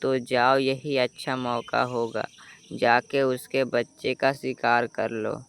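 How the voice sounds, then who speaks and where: Smooth, slow old cartoon crow voiced by a man. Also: medium pitch, level tone, fairly bright tone. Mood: sly, advising. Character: kindly scheming old male crow, family friend. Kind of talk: Hindi children's cartoon story dubbing.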